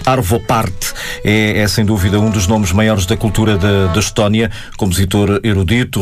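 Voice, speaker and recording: A man with a European Portuguese accent hosting a radio interview, steadily prompting and summing up his guest's story. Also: fairly low pitch. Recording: music playing under the voice.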